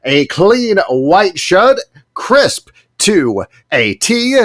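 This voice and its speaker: A boastful old-timey radio announcer, played by a man in an improvised tabletop game. His voice sits up high and swoops all over. He delivers every line with a slick, showy announcer polish. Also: sing-song, drawn-out words, a bit bright, heavily acted. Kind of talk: improvised tabletop role-play.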